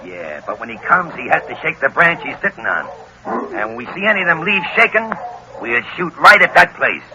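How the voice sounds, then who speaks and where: An actor playing a ruthless, commanding gangster boss with tough slangy talk, in an old radio crime drama style. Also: male voice, on the high side, sing-song, a little fast. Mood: grimly scheming. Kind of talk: 1930s-1940s radio acting. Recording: old radio recording with faint noise.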